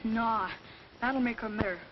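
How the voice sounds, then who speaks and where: A plucky young American boy from a small town, with a high voice and plain-spoken, kid-like delivery. It comes from a forties film, with a dull soundtrack and faint hiss. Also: fairly even intonation, slow pace. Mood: grumbling. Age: child. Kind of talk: 1940s film acting. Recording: muffled, faintly noisy 1940s film soundtrack.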